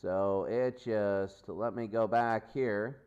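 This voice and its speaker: A man's voice: on the low side, steady tone, takes it slowly, darkish tone, clear, resonant tone, lo-fi sound. A man hosting a sports pregame show with unscripted, off-the-cuff commentary that repeats itself. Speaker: sports host and announcer.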